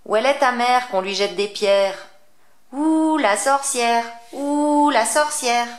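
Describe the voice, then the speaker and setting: A woman voicing a group of taunting schoolchildren in a shrill sing-song, in an echoey room. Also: slow. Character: jeering mob of mocking schoolchildren.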